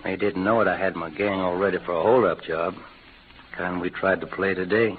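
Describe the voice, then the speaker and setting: A man acting a rough-talking cowboy outlaw in a 1940s radio western, with a folksy American Western drawl. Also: low-pitched, steady tone, brisk, close to an everyday voice. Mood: gruff, self-mocking. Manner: folksy, slangy cowboy talk. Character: rough-talking cowboy playing the bad outlaw for love's sake. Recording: old noisy radio recording, phone-like band.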